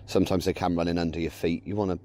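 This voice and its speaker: A man's voice: low voice, flat delivery, rapid-fire, dark tone. A man casually commenting while fishing a canal, chatty and explaining his tackle. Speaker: angler. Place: canal bank outdoors.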